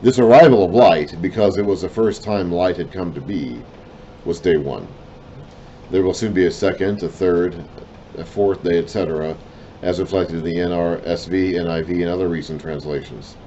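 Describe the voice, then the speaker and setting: Low deep-voiced man teaching slowly. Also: dark tone, muffled recording, a little hiss or hum. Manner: deliberate, apologetic asides, trailing off at phrase ends. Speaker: Bible teacher. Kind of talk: reading and explaining a lesson.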